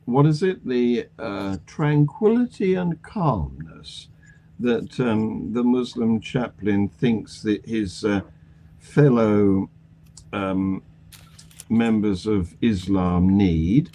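Animated, halting man hosting a news discussion. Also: lowish voice, a little hiss or hum. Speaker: panel show host. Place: indoor home room on a video call. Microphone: video call.

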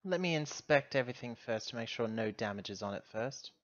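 Woman doing a businesslike ship boss haggling in a tabletop rpg, measured, dull audio. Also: very deep voice, animated, pitched below the performer's own voice. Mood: cool, bargaining. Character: shrewd, businesslike ship owner driving a bargain. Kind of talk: tabletop role-play. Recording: muffled voice-chat audio.

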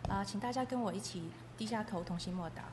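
A woman's voice: mid-range voice, fast talker. A woman interpreting a testimony aloud, phrase by phrase. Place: echoey hall. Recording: echoey hall with faint noise.

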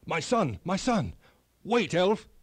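Earnest monarch for a storybook, performed by a man, declamatory and devoted, animated intonation, dry sound. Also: sits high, slow, husky, no room sound, pitched above the performer's own voice, heavily acted. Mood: anguished. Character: earnest, noble king, devoted to his people. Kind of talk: children's fairy tale read aloud.

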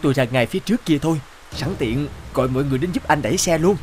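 A man's voice: on the high side, racing, soft background music, lightly acted. A man voicing a kind, courteous young village father in a cartoon. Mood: hurried. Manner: plain-spoken, courteous. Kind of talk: animated cartoon dialogue.

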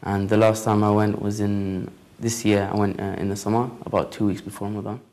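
A man's voice: lowish voice, level tone, a little fast, a little mellow. A young man of Iraqi and Lebanese heritage, interviewed in a studio, earnestly recalling what he had heard. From Iraq and Lebanon. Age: young adult. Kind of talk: casual recollection. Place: dark studio.

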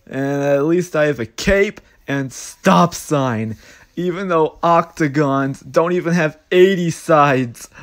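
A woman reading short deadpan comic one-liners for a cartoon meme. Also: sits low, slow. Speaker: meme narrator. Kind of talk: comic character roll call.

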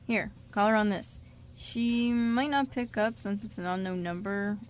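A woman playing an easygoing, bashful man with a halting, soft-hearted manner in an amateur radio drama. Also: mid-range voice, fairly even intonation, natural voice. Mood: reassuring. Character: Quinn, easygoing, bashful man with a shady past. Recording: noticeable background noise.

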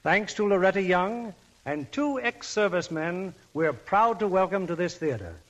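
A man's voice: high voice, expressive, normal speed, fairly bright tone. A man hosting a 1940s radio show, genial and jovial. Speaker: radio show host and producer. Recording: dull old 1940s radio broadcast.

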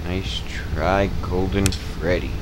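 A man casually commentating his own gameplay, muttering to himself as he plays, with loud game music behind him. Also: low-pitched, steady tone, slow, heavy background noise. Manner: muttering, talking to himself while playing. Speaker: gaming channel host. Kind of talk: casual let's play commentary.

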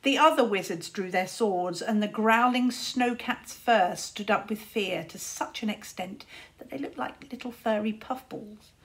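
A woman in her thirties reading a storybook aloud to children, deliberately and expressively, like a teacher. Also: medium pitch, a bit bright, low-quality recording. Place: small room at home, indoors.

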